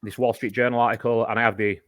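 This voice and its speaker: A man's voice: mid-range voice, level tone, fast talker, a bit bright. A man, a podcast guest, explaining a topic in casual chat, talking at length and giving examples.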